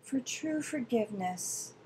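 Female guide for visualization meditation. Relaxed, warm timbre, very measured delivery, gentle and calming. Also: fairly high pitch, slight background noise, low-quality recording. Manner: soothing, gentle, deliberate with long pauses.

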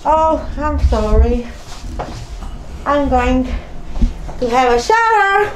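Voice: high-pitched, very animated, slow, exaggerated voice. Someone voicing a big, clumsy, childlike teddy bear in improvised pretend play, whiny and exclaiming in simple phrases. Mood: eager. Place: indoors at home. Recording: roomy home echo, faint noise.

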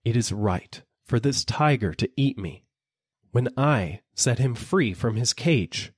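Guy doing anxious brahmin holy man begging, storybook read, pitched up, bit husky. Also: lively intonation, slow, no room sound, clean studio sound. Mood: exasperated. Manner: insistent, imploring.

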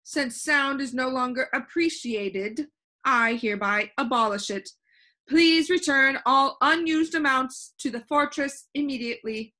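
A woman's voice: sits high, a little slow, bright, forceful tone, pitched above the performer's own voice. A woman voicing a stern, angry ruler in a storybook, declaiming in a formal, imperious manner. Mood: furious, imperious. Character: stern, furious ruler issuing a formal decree.

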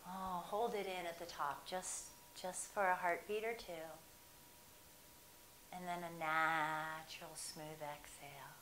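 A woman leads a guided meditation in a low, unhurried voice. She stretches her phrases out and leaves long pauses between them. The recording has a roomy echo and a faint hiss. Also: fairly even intonation, fairly bright tone. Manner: slow, soothing, drawn-out phrasing with long pauses. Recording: echoey room with faint hiss.

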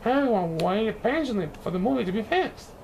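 A man's voice: high voice, lively intonation, fairly quick, gravelly, a little mellow, a little hiss or hum, voice pushed up. A man voicing a busy, forgiving young boy from a storybook, with lifted, emphatic delivery. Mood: friendly.

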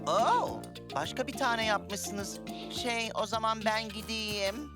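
A woman voicing a friendly, excitable cartoon DJ creature who is bashful and quick to apologise. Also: fairly high pitch. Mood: reluctant. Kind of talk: children's cartoon dub acting. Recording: loud music behind.

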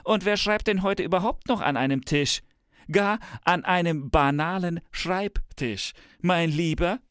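A man playing a smug, tech-enthused professor in a scripted satirical dialogue, pompous and theatrical with drawn-out emphasis. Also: high voice, a bit bright, clean tone, dry recording, studio quality. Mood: teasing, smug. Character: smug, tech-enthused male professor, grandly visionary and teasing.

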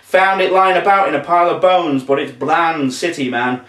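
A man's voice: fairly high pitch, normal speed, a bit bright, voice pushed up, close to an everyday voice. A man voicing a cheerful, laid-back zombie who talks in drawling surfer slang for a children's story. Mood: chatty, relaxed. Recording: roomy, distant microphone.

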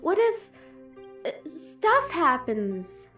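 A woman's voice: high voice, huge pitch swings, very slow, pushed and bright, soft background music, heavily acted. A woman playing a casual, unsure young monster kid in a fan audio drama, slangy and hesitant, on a dull lo-fi mic. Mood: worried. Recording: dull lo-fi microphone.

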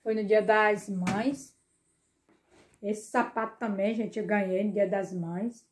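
A woman's voice: medium pitch, steady tone, takes it slowly. A middle-aged woman chatting casually to her viewers while filming herself at home, repeating words and addressing the audience often. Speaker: vlogger. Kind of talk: casual vlog chat to viewers. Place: small home bedroom and kitchen, indoors. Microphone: phone held at arm's length.

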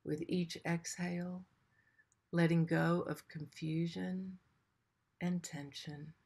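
A woman leading a guided meditation in a gentle, soothing manner, leaving long pauses between short phrases. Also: lowish voice, level tone, creaky.

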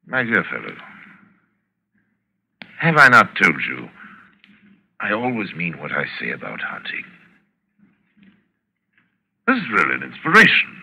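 Sinister aristocratic general, low forceful voice, swooping delivery, suave and gloating, old radio drama. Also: male voice, wildly swooping pitch, measured pace, gravelly, long pauses, breathy edge, full character voice, low-quality recording. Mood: relishing, taunting. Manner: suave, theatrical, gloating, mock-courteous. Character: cultured, sinister aristocratic general who hunts men. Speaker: radio actor. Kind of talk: 1940s radio acting.

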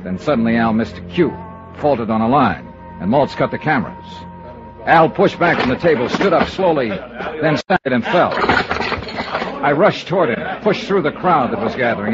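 A man's voice: mid-range voice, natural voice. An actor playing a blunt, sardonic male investigator who narrates his own case in old-time radio drama. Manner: brisk, sardonic, hard-boiled. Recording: dull vintage radio recording.

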